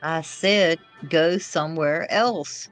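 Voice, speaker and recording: A woman's voice: sits low, slow pace. A woman reading the part of a disillusioned young female outlaw in love, with plaintive, folksy phrasing. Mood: irritated. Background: faint music.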